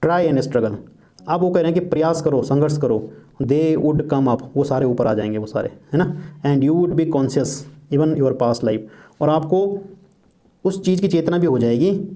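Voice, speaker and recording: A man teaching, reading English lines slowly and explaining each point in Hindi, often asking and answering his own questions. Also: mid-range voice, dark tone. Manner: reads English quotes slowly and deliberately.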